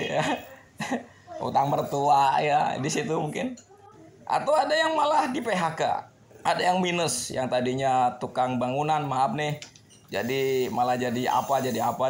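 A man's voice: mid-range voice, gravelly. A middle-aged man chatting casually with viewers while he fixes a bike in his repair shop, rambling and laughing easily. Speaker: bike mechanic vlogger. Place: bicycle repair shop, indoors.

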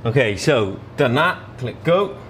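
A man's voice: mid-range voice, very animated, slow pace, fairly bright tone. Man giving a tutorial over background noise. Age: 30s. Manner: informal, step-by-step explaining. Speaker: product demonstrator. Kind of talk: hands-on tutorial narration. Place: small indoor showroom.

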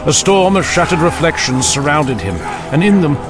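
A man narrating a dramatic fantasy audiobook in a grave, deliberate manner. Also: a little high, lively intonation, rough recording.

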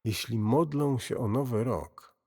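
A man narrating a scripted first-person horror story in a grave, deliberate, brooding manner. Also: medium pitch, a little mellow, breathy edge. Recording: cavernous long reverb.

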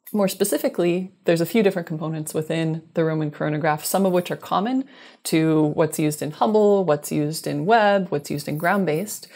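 A woman in her thirties with a low voice, speaking as an expert guest. She explains technical science in a conversational way over a video call from an indoor office. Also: a little mellow. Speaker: astronomer, interview guest.

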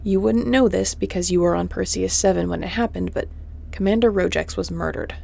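A woman playing a tough, wry, protective space mercenary captain in a sci-fi audio roleplay, terse and commanding, often hushed. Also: lowish voice, brisk, gravelly. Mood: wry, resigned.

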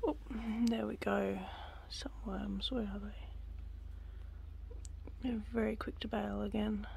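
A young woman talks slowly and loosely into a handheld phone, filling gaps with ums. Her voice carries a gravelly fry. A faint hiss of outdoor noise sits behind her. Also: mid-range voice. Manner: relaxed, rambling, many ums, drops to a whisper up close. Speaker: vlogger. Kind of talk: casual gardening vlog. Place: backyard outdoors. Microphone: phone held close.

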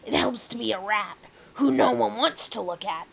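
A woman's voice: fairly high pitch, moderate pace, gravelly, crowd noise. A woman voicing a proud, grim rat king in an intense, aggressive, declamatory storybook performance. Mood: grave, urging. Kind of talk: dramatic storybook reading.

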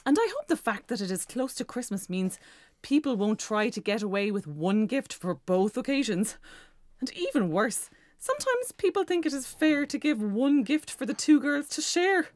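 Busy, fussy hotel woman, higher voice, very animated, children's audio drama, close dry sound. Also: moderate pace, no room sound. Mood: brisk, fussing. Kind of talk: children's audio story acting.

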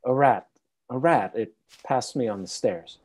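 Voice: mid-range voice, unhurried, a little mellow, natural voice. An actor playing a terrified, anxious husband and father in a radio play, pleading and stammering with dread.

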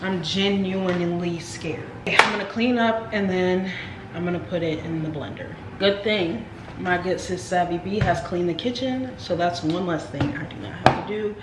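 A woman's voice: on the low side, normal speed. Chatty young woman in an echoey kitchen. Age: young adult. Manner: chatty, playful, talks straight to viewers. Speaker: vlogger. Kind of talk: casual vlog chat to camera. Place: home kitchen, indoors. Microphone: camera on the counter. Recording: echoey kitchen with household noise.

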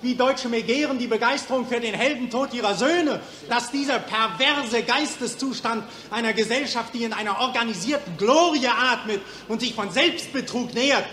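A man's voice: very high-pitched, moderate pace, hardly any pauses, sharp tone. A man acting a bitter, defiant mental patient who denounces and accuses in 1940s radio play style. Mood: bitter, prophetic. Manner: biting, accusatory, declamatory. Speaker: actor. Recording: 1940s radio recording, echoey room.

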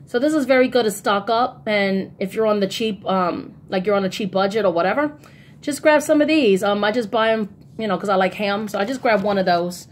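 A woman's voice: mid-range voice, fairly quick, slight background noise. A woman chatting casually and informally in a rambling style, as a vlogger showing off her shopping. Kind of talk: casual haul vlog chat.

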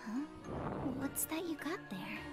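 A woman voicing a gentle, cautious young girl, a reluctant older sister in a visual novel game, speaking hesitantly. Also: high voice, animated, unhurried. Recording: music playing behind.

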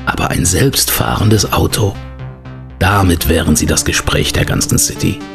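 A man's voice: deep voice, moderate pace, vocal fry, music in the background. A man playing the suspense-building narrator of an audio drama adventure. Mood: suspenseful.

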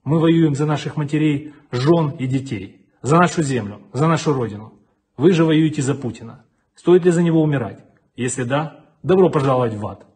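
A man's voice: a little high, moderate pace, a little mellow, breathy edge. A middle-aged man, a city mayor, giving a stern and defiant video address from an indoor office with flags behind him, close to a webcam. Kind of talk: video address to enemy soldiers.

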